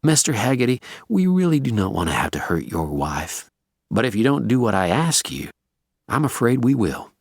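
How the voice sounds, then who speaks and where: A man voicing an old hired gunman in an audiobook, coldly polite, menacing and deliberate in his speech. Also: deep voice, very animated, slightly rough, dry recording, studio quality, heavily acted. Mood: polite threat. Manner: slow, deliberate, coldly courteous.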